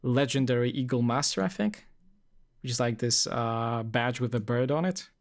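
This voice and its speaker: Young guy from poland rambling on stream, lots of uh and like, mid pitch. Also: normal speed. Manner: rambling, many fillers and restarts. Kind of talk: casual live-stream chat.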